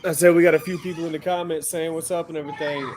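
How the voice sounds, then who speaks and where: A man's voice: a little high, flat delivery, rapid-fire, a little mellow. Easygoing slangy podcast host in his thirties. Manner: easygoing, slangy, frequent "uh" fillers. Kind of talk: casual interview chat.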